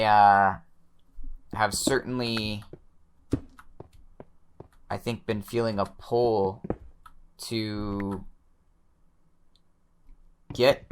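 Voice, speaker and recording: A young man with a slightly hoarse voice, quietly talking through his gameplay, often pausing and restarting his sentences. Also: fairly low pitch, a little hiss or hum. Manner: thoughtful, pauses and restarts sentences; soft-spoken, many fillers. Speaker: let's play host. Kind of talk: relaxed gameplay commentary.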